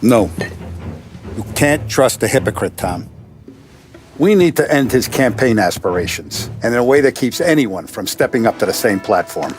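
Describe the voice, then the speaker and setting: A man playing a calm, commanding American Mafia boss in a crime video game, speaking deliberately and with quiet menace. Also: mid-range voice, faint music behind, lightly acted. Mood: cold, commanding. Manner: deliberate, authoritative, veiled threats. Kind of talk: cinematic crime game acting.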